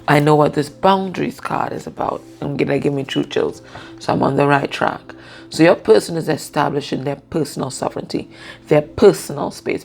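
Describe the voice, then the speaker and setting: A woman with a husky voice giving a casual, unscripted card reading, pausing and often checking in with the listener. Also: low voice. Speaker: tarot and oracle card reader.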